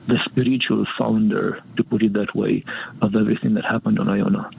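A man from Romania, a monk priest, speaking as a guest in an earnest, reflective way, with a soft laugh now and then. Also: on the low side, little pitch movement, a little fast, gravelly, narrow, tinny audio, a little hiss or hum. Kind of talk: conversational interview.

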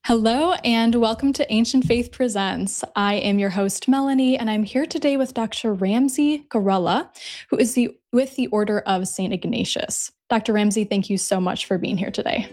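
A woman's voice: on the high side, measured pace, a little mellow. A woman hosting an interview podcast, upbeat and encouraging with short replies. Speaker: podcast host.

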